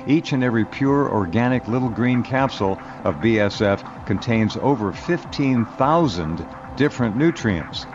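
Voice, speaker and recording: Man, ad announcer, low voice, earnest, dull lo-fi sound. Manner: earnest, grave sales pitch. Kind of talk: scripted radio ad read. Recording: dull lo-fi radio ad.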